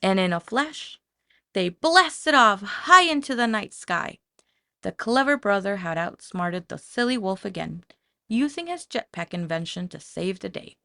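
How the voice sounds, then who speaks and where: A woman narrating a children's story from a script in a dramatic, expressive storytelling manner. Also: medium pitch, vocal fry, no room sound. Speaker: audiobook narrator.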